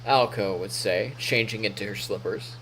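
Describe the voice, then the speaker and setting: A man's voice: lowish voice, normal speed, fairly bright tone, slight background noise, rough recording. A man live streaming, reading story narration aloud in a playful, theatrical way with casual asides.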